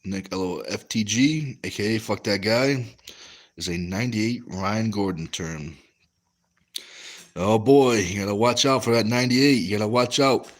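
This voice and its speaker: A young American man talks casually on a livestream, answering chat in a fairly low voice. He rambles, restarts his sentences and leans on "um" and "you know" as he tells stories. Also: moderate pace. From West Coast of the US. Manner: rambling, many fillers and restarts. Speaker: livestream host reading chat.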